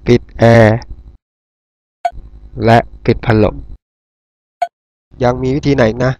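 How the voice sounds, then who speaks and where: A man's voice: medium pitch, steady tone, takes it slowly, a little mellow, a little hiss or hum, close to an everyday voice. A man playing an earnest, eager young eco-hero in a cartoon, thinking aloud in an instructive way. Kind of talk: cartoon character monologue.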